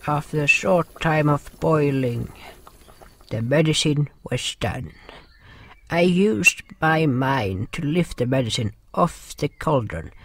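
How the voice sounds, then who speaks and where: A man playing a gentle, caring healer with water powers in a fantasy audio drama, speaking in slow, formal, slightly stilted phrases. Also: on the high side, expressive, a little hiss or hum. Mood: solemn storytelling. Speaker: narrator and lead character. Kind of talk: first-person fantasy audio drama narration and dialogue. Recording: music behind the voice.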